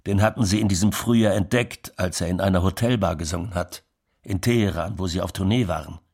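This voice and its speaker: An actor playing a music producer who recalls a singer's rise matter-of-factly in a radio drama monologue. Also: male voice, deep voice, fairly quick, darkish tone.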